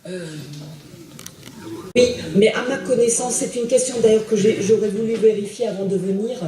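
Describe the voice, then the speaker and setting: A woman's voice: a little high, fairly even intonation, moderate pace, crowd noise. A middle-aged woman, a theology professor, answering audience questions thoughtfully with many restarts and hesitations. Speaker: theology professor giving a lecture. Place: attic lecture hall in a school. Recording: echoey hall from far off.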